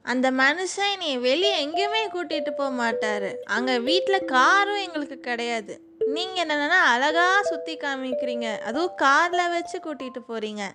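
A woman's voice: sits high, expressive, moderate pace. A woman voicing a stubborn, complaining wife in a comic cartoon. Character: stubborn, contented kidnapped wife refusing to go home. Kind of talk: cartoon voice acting.

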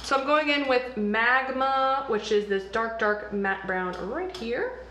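A young woman in her early twenties talks through her makeup in a relaxed, chatty way, naming each product as she goes. Her voice sits a little high and the room rings back with a faint hiss underneath. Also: slow. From United States, raised mostly in the South. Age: early 20s. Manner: chatty, explains products as she applies them. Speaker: beauty vlogger host. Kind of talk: casual chat while doing makeup. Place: small indoor room, seated side by side. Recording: roomy indoor sound.

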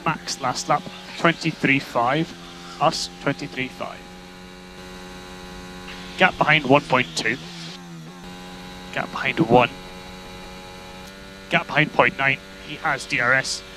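A man's voice: medium pitch, fairly even intonation, takes it slowly, pauses often. A man working as a race engineer, giving terse, repeated instructions over motor racing team radio. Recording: noisy team radio with electrical hum.

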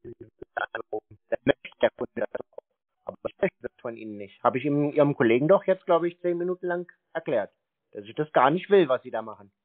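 A man's voice: medium pitch, normal speed, no room sound. A man in an argumentative phone conversation, stubbornly needling and repeating himself as he baits the caller. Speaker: prank-calling scam baiter. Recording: narrow phone-like sound.

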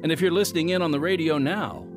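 An American man hosting a scripted radio ministry program, speaking in an earnest, measured announcer's delivery. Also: fairly high pitch. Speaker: radio show host and announcer. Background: music.

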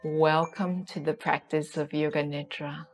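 Female meditation guide, deep-pitched and very slow, soothing delivery with spacious pauses. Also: fairly even intonation, soft background music. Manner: soothing, unhurried, with long pauses. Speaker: yoga nidra guide.

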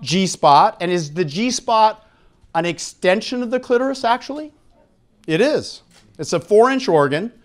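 Middle-aged American male seminar speaker, pitch a bit above average, handheld mic, frank public-lecture delivery. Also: lively intonation, measured pace. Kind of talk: public lecture with questions to the audience. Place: conference room at an expo, live audience. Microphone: handheld microphone.

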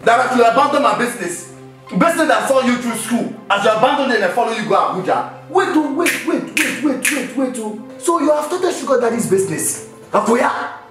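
A man with a Nigerian accent playing an angry, jealous husband who shouts and repeats himself, recorded in an echoey room away from the mic with music behind. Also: extremely high pitch, expressive, brisk, recorded from a distance. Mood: outraged. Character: angry jealous husband protesting wife's job. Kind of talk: comedy skit acting.

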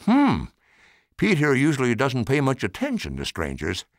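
An older man voicing a kind, gracious woman who runs an orphanage in a storybook, gentle and polite. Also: mid-range voice, huge pitch swings, normal speed, no echo, clean studio sound. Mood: wry.

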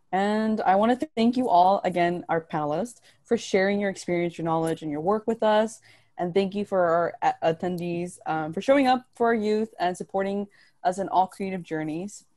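Mellow, encouraging young woman moderating. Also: lowish voice, no echo, clean studio sound. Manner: encouraging, affirming. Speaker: panel moderator. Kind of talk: hosting an online panel. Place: indoors on a video call. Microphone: video call microphone.

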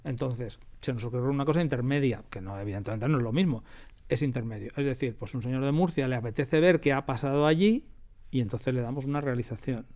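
A man's voice: mid-range voice, quick pace, darkish tone. A man with a Castilian Spanish accent, explaining and telling anecdotes in an interview, emphatic and repeating phrases for stress. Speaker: radio drama producer, interview guest. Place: radio drama studio.

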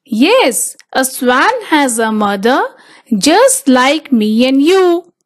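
Female storybook narrator for small children; very slow delivery, lively intonation. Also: high-pitched. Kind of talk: children's picture book read-aloud.

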